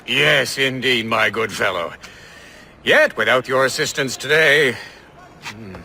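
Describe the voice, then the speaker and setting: A man playing an elderly, affable gentleman diplomat in a game cutscene, effusive, courtly and hesitant in his speech. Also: medium pitch, takes it slowly, slight background noise. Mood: grateful, hesitant. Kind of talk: video game cutscene acting.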